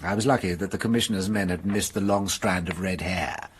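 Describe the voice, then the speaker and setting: An actor playing a shrewd detective in a radio drama, explaining a case in a measured, amused manner. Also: male voice, low-pitched, slightly rough. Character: shrewd French police detective, Inspector Hanaud.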